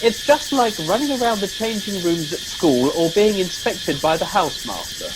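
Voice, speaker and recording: An actor playing a genteel, polite second-in-command, mildly appalled, in a comic radio play. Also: male voice, high-pitched, animated, moderate pace, background noise. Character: genteel, languid public-school English male second-in-command.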